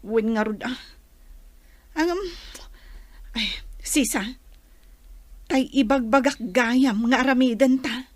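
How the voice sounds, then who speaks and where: Woman acting a high-pitched, defensive young wife. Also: slow, long pauses, dry recording. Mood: scheming, hesitant. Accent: Ilocano Filipino. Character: selfish, evasive pregnant runaway wife, Edna. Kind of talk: Ilocano radio drama acting.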